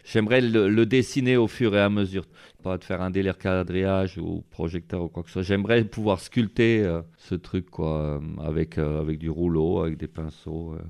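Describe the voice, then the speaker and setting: A man's voice: low-pitched, steady tone, normal speed, creaky, a little mellow, clear, resonant tone. A man being interviewed as a street artist, talking casually and rambling with many fillers. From France.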